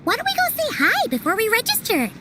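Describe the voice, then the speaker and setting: A woman playing a small, cheerful, excitable childlike girl companion in a video game. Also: extremely high pitch, fast talker, heavy background noise, exaggerated voice. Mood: cheerful, eager. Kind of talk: animated video game voice acting.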